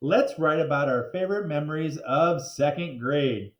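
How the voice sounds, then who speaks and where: A man's voice: a little high, moderate pace, close to an everyday voice. A man voicing a cheerful, scatterbrained woman teacher from a children's storybook, upbeat as she addresses a class. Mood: instructive. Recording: roomy, echoing sound.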